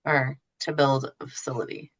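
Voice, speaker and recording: A woman's voice: extremely low pitch, steady tone, normal speed, fairly bright tone, husky. A woman explaining things as a podcast guest in casual, informal interview talk. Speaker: podcast guest explaining her know-how.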